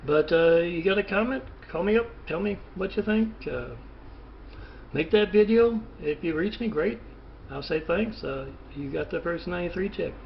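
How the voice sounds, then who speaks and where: Male interviewee, slightly high voice, hesitant and meandering delivery, muffled phone recording with faint noise. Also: animated, gravelly. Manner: halting, hesitant, rambling. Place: phone call.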